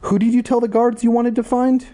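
A man voicing a confused, excitable boy in a dramatised children's horror story. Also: very high-pitched, a little fast, voice pushed up. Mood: suspicious. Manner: confused, insistent, exclaiming. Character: bewildered, excitable boy from the 1930s.

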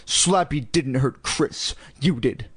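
A man's voice: medium pitch, slow pace, fairly bright tone, husky, no room sound, clean studio sound. A man voicing an exasperated, grumpy father, stern and insistent, in a storybook reading. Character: exasperated, grumpy father ordering his daughters about.

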